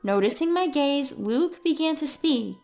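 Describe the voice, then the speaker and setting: A woman's voice: high voice, lively intonation, a little slow, darkish tone, soft background music. A woman reading a scripted first-person story as its narrator.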